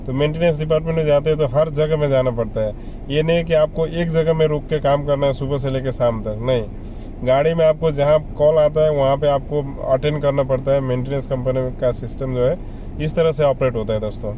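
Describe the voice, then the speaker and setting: A man's voice: medium pitch, fairly even intonation, brisk, warm tone, slight background noise. A man giving casual, conversational advice to viewers as a vlogger, often repeating himself and listing examples. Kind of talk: casual explainer addressed to viewers.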